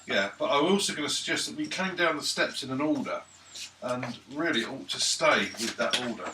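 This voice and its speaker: A man's voice: mid-range voice, lively intonation, brisk. A man casually discussing his turn as a player in a tabletop game. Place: indoors at a gaming table. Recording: echoey room, distant mic, loud noise.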